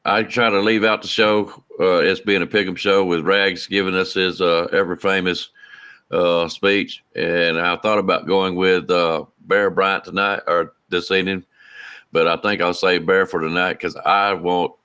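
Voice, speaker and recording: Bright-toned host signing off. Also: male voice, sits low, a little slow, clean tone. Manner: relaxed, friendly, laughs easily, rambling sign-off. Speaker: show host. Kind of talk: casual sports talk show.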